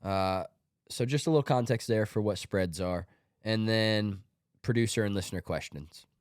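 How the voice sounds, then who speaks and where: Low-voiced congested podcast host. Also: male voice, normal speed, a little mellow, no room sound, studio quality. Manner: offhand, joking, talks through his picks. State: congested from a cold. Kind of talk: casual sports podcast monologue.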